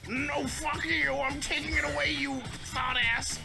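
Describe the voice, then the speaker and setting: A woman's voice: sits high, flat delivery, unhurried, gravelly, exaggerated voice. A woman voicing a blustering, foul-mouthed villain in an improvised comedic game fandub. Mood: menacing bluster. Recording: loud game music over the voice.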